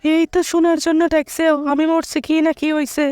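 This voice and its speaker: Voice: sits high, level tone, brisk, a little mellow, no room sound, studio quality, pitched above the performer's own voice, natural voice. A performer voicing a flighty young cartoon woman with a Bangladeshi accent, whiny and evasive, in colloquial rural speech. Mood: impatient, evasive. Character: flighty young woman two-timing her boyfriends with excuses. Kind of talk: comedy cartoon dialogue. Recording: music under the voice.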